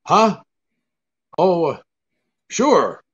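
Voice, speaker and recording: Guy doing tough radio private eye, wry n offhand, lively pitch, slightly dull sound. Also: drawn-out words, full character voice. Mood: cool, offhand. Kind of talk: 1940s radio detective drama acting.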